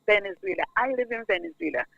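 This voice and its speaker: A woman's voice: medium pitch, big pitch swings, fast, dry recording. A woman calling in to a radio show, insistent and talking over the host. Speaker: caller. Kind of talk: call-in radio talk. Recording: dry, gravelly phone line.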